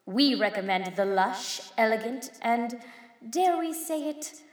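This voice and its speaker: A reverberant, echo-laden promotional recording of a female tourism announcer. The voice is high and polished, relentlessly cheerful, selling every attraction as scripted. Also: a bit bright. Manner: polished, salesy, relentlessly upbeat. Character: chirpy female welcome recording promoting bridge travel. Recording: echoey hall with long reverb.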